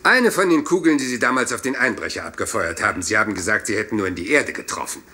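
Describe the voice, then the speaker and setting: An actor in a radio play playing a rumpled, courteous police inspector who apologizes and meanders doggedly. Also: male voice, on the low side, expressive, fast talker, pushed and bright, slight background noise. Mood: insistent.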